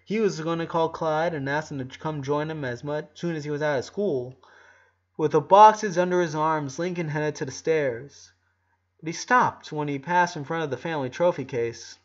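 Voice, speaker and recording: A man's voice: fairly high pitch, normal speed, highs cut off. A man narrating a scripted story aloud, stumbling over a word now and then. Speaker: narrator reading a fan fiction story aloud.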